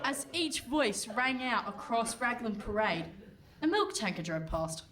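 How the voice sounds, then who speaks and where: A woman playing a brisk, impatient narrator in a comic radio play. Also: fairly high pitch, big pitch swings, over a noisy crowd. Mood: storytelling. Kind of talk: comic scripted narration before live audience.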